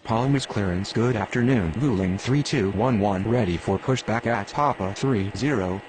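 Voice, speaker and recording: A man's voice: lowish voice, moderate pace, close to an everyday voice. A man playing a businesslike air traffic radio voice in a game, speaking in scripted phraseology. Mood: businesslike, procedural. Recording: very noisy game capture.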